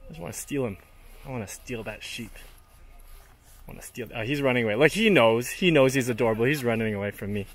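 A man's voice: mid-range voice, fast talker. A man giving casual, off-the-cuff travel vlog commentary, chatting informally and thinking aloud. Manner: chatty, informal, speculating aloud, occasional swearing. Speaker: travel vlogger. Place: on location, outdoors and aboard a train. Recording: noisy handheld outdoor and travel ambience.

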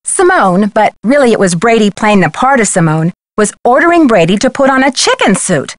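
A woman's voice: fairly high pitch, very animated, a little fast, dry recording, studio quality. A woman playing an earnest, inquisitive young amateur detective in a video game, speaking in an articulate way. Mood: earnest, curious. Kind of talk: video game dialogue acting.

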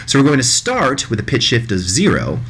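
A man in his thirties narrating a software tutorial in a conversational, demonstrative way, recorded indoors in a small room. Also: medium pitch, brisk, a little hiss or hum. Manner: animated, demonstrative, mimics sounds vocally.

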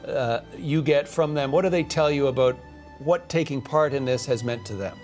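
A middle-aged man anchoring a news programme from a television studio, interviewing a guest in a measured way. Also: medium pitch, a little mellow, soft background music.

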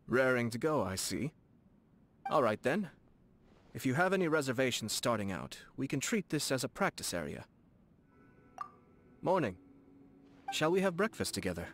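An actor playing a courteous, composed young nobleman in a video game, speaking formally and softly with a gently teasing manner. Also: mid-range voice, very animated, a little hiss or hum. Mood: gentle, playful. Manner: formal, measured, politely playful. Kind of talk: video game character dialogue. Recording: studio voice over game music. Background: game background music.

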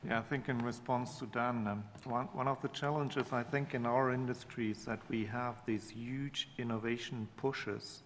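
Middle-aged man, panelist, halting, repeats words, hall echo, faint noise. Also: medium pitch, fairly even intonation, a bit bright. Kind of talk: panel discussion. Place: large conference hall. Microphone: table microphone.

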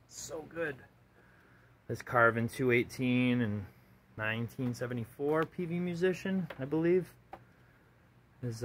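A man's voice: medium pitch, fairly bright tone, slight background noise. A man giving a loose, profane, rambling tour of his cluttered basement workshop, filming with a handheld phone. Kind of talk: casual rambling walkthrough. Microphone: handheld phone camera, speaker off-screen.

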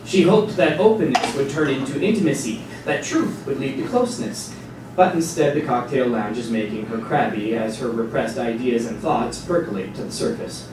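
A man's voice: medium pitch, moderate pace. Man, scripted stage narration, strong echo, noticeable noise. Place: small stage before a seated audience. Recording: distant mic, very echoey hall, noisy.

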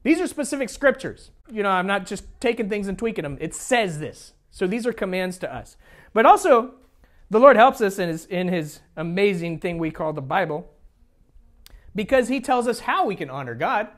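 An American man with a high voice gives a sermon to his congregation. His pitch moves in lively swings as he teaches, informal and conversational, restating key phrases for weight. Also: normal speed. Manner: informal, jokey asides, repeats phrases for emphasis. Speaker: pastor.

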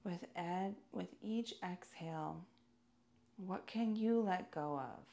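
Slow, creaky-voiced woman guiding meditation. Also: fairly low pitch. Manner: calm, soothing, deliberate phrasing with pauses. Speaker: yoga and meditation teacher. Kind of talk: guided meditation instruction.

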